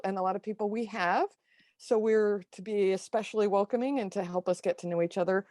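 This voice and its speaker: A woman hosting an online meetup, giving announcements in a halting way and sometimes stumbling over words. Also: medium pitch, a little mellow, dry recording, clean studio sound. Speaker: meetup host. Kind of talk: housekeeping announcements to an online meeting. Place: indoors, on a video call.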